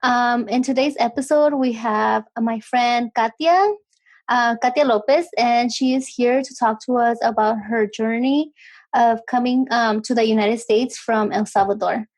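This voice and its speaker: A woman's voice: a little high, fairly even intonation, a little slow, a little mellow. A woman hosting a podcast interview, speaking hesitantly with frequent ums. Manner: hesitant, heavy use of um. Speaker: podcast host. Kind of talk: casual interview.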